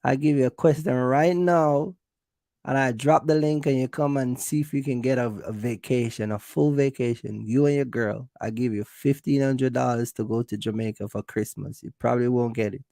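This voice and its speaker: A man's voice: mid-range voice, fairly even intonation, moderate pace, gravelly, mellow, no room sound, studio quality. A man hosting a casual livestream, giving business advice straight to viewers in an emphatic, repetitive way. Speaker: host of a trucking business livestream.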